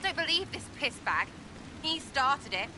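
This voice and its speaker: An actress playing a brash, irreverent young rogue in a video game, blunt and cheeky with slangy, choppy phrasing. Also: female voice, sits high, big pitch swings, measured pace, background noise. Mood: scornful.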